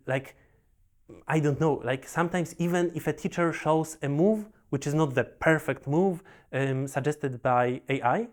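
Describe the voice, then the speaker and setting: A young man from Poland with a Polish accent, a professional Go player, telling his story in a relaxed, halting way with many restarts and fillers. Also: a little high. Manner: halting, repeats words, frequent fillers.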